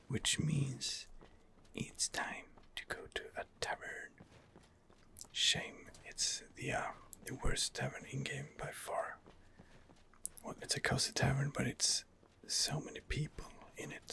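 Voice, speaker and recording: A man whispers ASMR commentary over a game he is playing. His voice is breathy and very creaky, at a middling pitch. He muses in a hushed, hesitant way. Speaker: gameplay host.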